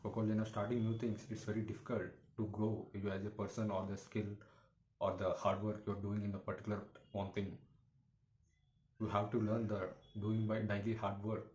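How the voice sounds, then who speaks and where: A man giving a motivational talk to camera as a YouTuber, in halting, repetitive, earnest phrasing. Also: on the low side, little pitch movement, darkish tone, muffled recording. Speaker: YouTuber, motivational content creator. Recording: echoey room with faint background noise.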